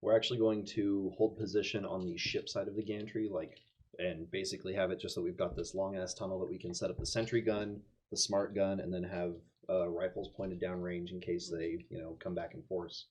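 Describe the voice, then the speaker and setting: A man's voice: low voice, little pitch movement, a little fast, darkish tone, husky. A man playing a tabletop game, giving tactical plans in a wry, casually profane way. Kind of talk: casual in-character game talk.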